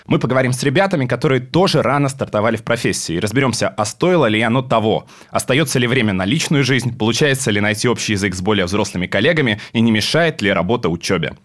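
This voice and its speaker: A man hosting a podcast, asking young guests friendly questions in a conversational way. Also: lowish voice. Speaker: podcast host.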